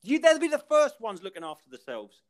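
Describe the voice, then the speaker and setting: A man's voice: very high-pitched, wildly swooping pitch, a little fast. A man, a podcast co-host in casual chat, ranting and rambling and breaking into laughter.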